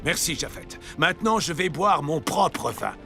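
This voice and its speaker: Old father, highish creaky voice, reproachful, animated Bible story, music behind. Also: moderate pace. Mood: tipsy, accusing. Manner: grumbling, reproachful.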